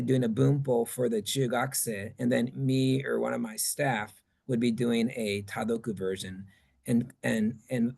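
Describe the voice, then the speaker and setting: A man presenting at a conference, answering questions in a halting, think-aloud way. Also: medium pitch, gravelly, breathy edge.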